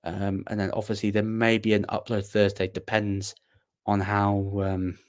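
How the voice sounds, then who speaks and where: A man's voice: on the low side, completely flat delivery, unhurried, darkish tone. A young man hosting a casual livestream, rambling and self-deprecating as he answers viewers with plenty of ums and restarts. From Wales. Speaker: livestream host.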